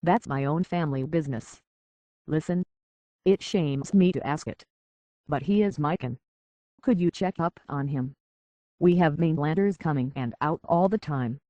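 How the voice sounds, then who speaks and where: A man's voice: on the high side, darkish tone, no echo, natural voice. An actor playing a plain-spoken, folksy island barkeep in a video game, blunt and concerned for his own people. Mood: earnest, worried. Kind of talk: video game character dialogue.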